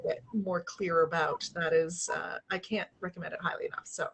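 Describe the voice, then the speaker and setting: A woman hosting a casual video-call interview, laughing often and restarting her sentences, with a relatively low voice. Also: steady tone, fairly quick, gravelly, a crowd in the background. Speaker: host.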